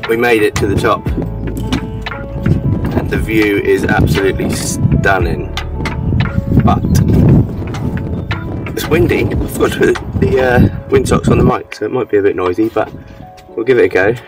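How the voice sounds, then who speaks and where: A man's voice: on the low side, normal speed, long pauses, over loud music, poor audio. A middle-aged British man chatting casually outdoors as he presents a hands-on gear review. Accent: British English. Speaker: vlogger reviewing walkie-talkies. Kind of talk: casual outdoor vlog chat. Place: outdoors, windy.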